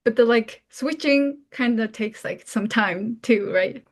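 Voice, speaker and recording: A young woman from Mongolia with a fairly high voice, chatting as a guest speaker. Her talk is informal and casual, sprinkled with "like" as she answers questions. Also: unhurried. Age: young adult. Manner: informal, frequent "like".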